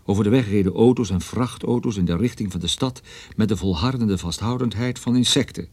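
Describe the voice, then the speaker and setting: A man's voice: lowish voice, fairly quick, darkish tone, no echo. An actor playing a wry private detective who narrates his own story in a radio drama, dry and ironic. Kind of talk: first-person radio drama narration, noir.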